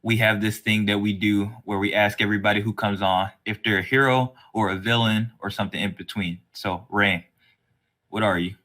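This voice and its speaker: A man's voice: on the low side, steady tone, brisk, sharp tone. A man hosting a podcast interview in a casual, conversational and slangy way. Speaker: podcast host.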